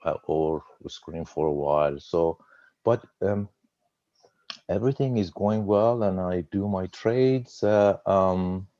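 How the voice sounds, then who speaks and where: Very deep, slow Persian-accented man. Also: warm tone, no echo, muffled recording. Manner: halting, repeats words, stutters. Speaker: guest trader. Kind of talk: personal story on a webinar. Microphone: video call.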